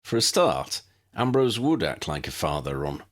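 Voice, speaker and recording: A man's voice: extremely low pitch, sing-song, normal speed, heavily acted. A man reading the part of an earnest, reasoning young man who chides mildly, in an audiobook. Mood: argumentative.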